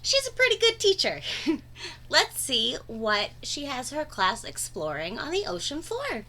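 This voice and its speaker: A woman reads a children's story aloud in a high, bright voice. Her pitch swings widely as she leans on key words with dramatic emphasis. The delivery is that of a teacher reading to young listeners. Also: huge pitch swings, a little slow, slight background noise. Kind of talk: expressive storybook read-aloud.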